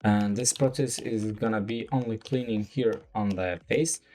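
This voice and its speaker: A non-native English-speaking man explaining a photo retouching process step by step, with frequent "uh" fillers and halting phrasing. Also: fairly low pitch, steady tone, mellow, soft background music. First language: not English. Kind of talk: screen-recorded software tutorial.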